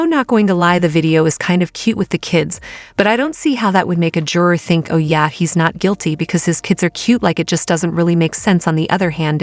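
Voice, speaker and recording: A woman's voice: on the low side, dry recording. A woman giving casual celebrity gossip commentary as a host.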